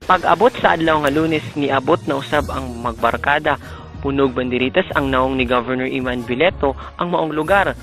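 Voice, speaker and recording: A man's voice: medium pitch, normal speed, fairly bright tone. A man with a Filipino accent reading scripted narration for a student radio drama. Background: music.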